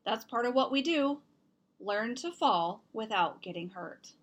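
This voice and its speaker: A woman's voice: a little high, expressive, unhurried, lo-fi sound. A woman reading the part of a confident, encouraging older sister in a children's storybook, matter-of-fact and emphatic.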